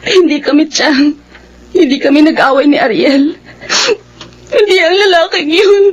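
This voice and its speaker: A woman's voice: high voice, unhurried. A woman playing a heartbroken young Filipina returnee in a radio drama, earnest and emotional, with a Filipino accent. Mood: tearful, outraged. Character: heartbroken young Filipina returning from overseas work, wary of love. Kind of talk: radio drama acting with first-person narration.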